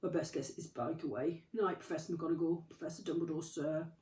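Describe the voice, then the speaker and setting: Big blubbering gentle giant, a woman putting him on, loud and rough; distant, roomy sound. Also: low voice, fairly even intonation, a little fast, lightly acted. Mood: muffled. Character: huge, soft-hearted male giant, tearful. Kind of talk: storybook read-aloud. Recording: roomy, from off the mic.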